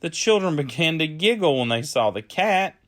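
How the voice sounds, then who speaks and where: A man reading a children's story aloud as a storyteller, with deliberate phrasing. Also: a little high, animated. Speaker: storyteller, early childhood educator.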